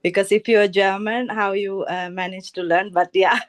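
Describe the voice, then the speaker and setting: A woman student on a video call, asking a teacher a question in a shy, halting way. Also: mid-range voice, fairly even intonation.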